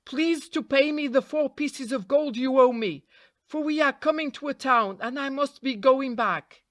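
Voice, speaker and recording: A woman's voice: high voice, measured pace, no room sound, voice pushed up. A woman voicing a little male sparrow in a storybook, an insistent, pert haggler. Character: male talking sparrow, eager little haggler turning fierce.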